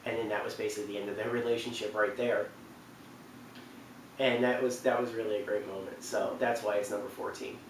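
A man in his twenties giving casual, unscripted countdown commentary, halting and rambling, from a couch in a living room. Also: fairly low pitch, flat delivery. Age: 20s. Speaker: video presenter. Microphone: camera mic at a distance. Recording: roomy, distant mic, faint noise.

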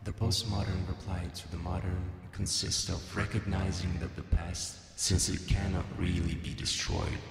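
A man delivers scripted essay narration in a very low, creaky voice. His manner is dry, with wry asides, and music plays clearly behind him. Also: fairly even intonation, moderate pace, hardly any pauses, no echo.

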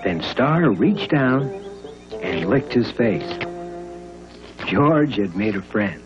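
Animated male storybook narrator over music. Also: fairly low pitch, takes it slowly. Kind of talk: children's storybook cartoon narration. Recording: muffled old cartoon soundtrack. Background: loud music.

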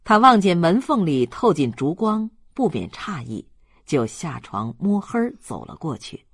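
Slow, expressive audiobook narrator, lightly husky. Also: medium pitch, dry recording, studio quality. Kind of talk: scripted literary narration, storytelling.

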